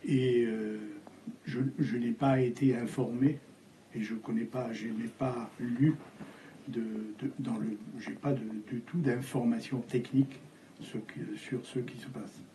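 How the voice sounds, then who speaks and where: An older man, originally from Algeria with Spanish as his first language, speaking haltingly with many fillers as an interview guest. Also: mid-range voice, steady tone, mellow, reverberant, slight background noise, rough recording. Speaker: architect guest.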